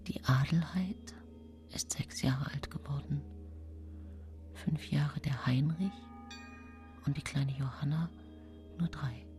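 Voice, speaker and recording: An actress playing a scheming, self-pitying nineteenth-century murderess who tells her own case in a hushed, brooding radio play monologue. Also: low voice, steady tone, slow pace, pauses often. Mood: grieving, hushed. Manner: hushed, brooding, often whispering. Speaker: radio drama actress narrating. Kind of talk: intimate first-person radio play monologue. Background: music.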